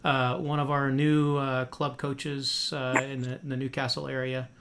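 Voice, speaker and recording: A man's voice: mid-range voice, level tone, measured pace, noisy background. A man hosting a podcast interview in a friendly, casual way, with many fillers and restarts. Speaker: podcast host.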